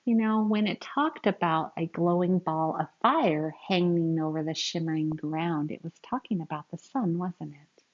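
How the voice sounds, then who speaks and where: A woman reading a picture book aloud to young children in an expressive, teacherly way, speaking to them directly as she goes. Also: lowish voice, moderate pace, lo-fi sound. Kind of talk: read-aloud storytelling with asides to young listeners.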